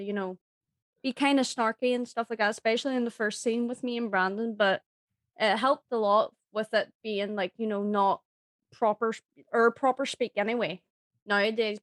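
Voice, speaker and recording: A woman's voice: mid-range voice, dry recording. Informal woman. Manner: informal, dropped Ts. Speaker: voice actor, podcast guest. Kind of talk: casual chat.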